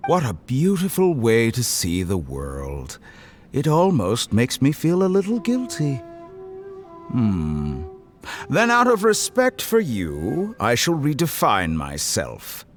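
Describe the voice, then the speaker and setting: An actor playing a cold, scheming male scientist villain who speaks in a smooth, coolly mocking way. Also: mid-range voice, wildly swooping pitch, slow, soft background music. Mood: menacing. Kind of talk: game cutscene voice acting.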